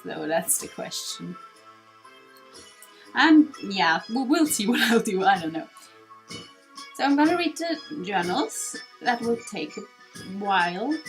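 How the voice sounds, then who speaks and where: A woman's voice: a little high, low-quality recording. A young woman hosting a let's play, giving casual, rambling game commentary with some hesitation. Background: faint game music.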